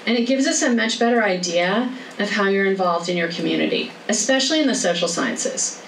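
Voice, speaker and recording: A woman giving a career workshop talk and answering questions, in a brisk, chatty way with easy laughter. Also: mid-range voice, lively intonation. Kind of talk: informal talk with Q&A. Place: lecture room. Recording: echoey room, distant mic, faint noise.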